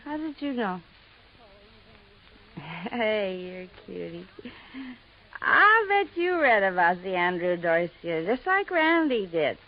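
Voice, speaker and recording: A woman's voice: medium pitch, very animated, takes it slowly, pauses often. A woman acting in a 1950s radio drama as a brassy, tipsy, gullible young gangster's moll with an American accent and slangy, pouting delivery, on a vintage recording. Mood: coaxing. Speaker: actress in a supporting part. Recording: dull vintage radio recording, faint noise.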